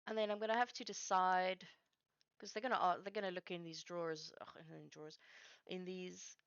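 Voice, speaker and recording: A woman whose first language is Afrikaans chats casually as she works on a livestream, haltingly searching for words. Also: fairly low pitch, steady tone, clear, resonant tone, no room sound, dull audio. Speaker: streamer building game maps live. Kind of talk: casual chat while working.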